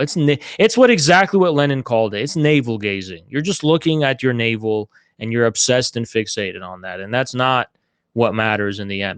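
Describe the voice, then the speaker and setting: A man's voice: mid-range voice, clear, resonant tone, dry recording. A man speaking in a live online political debate, arguing emphatically and repeating himself, with some swearing. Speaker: debater leading a political group. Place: live online audio chat room.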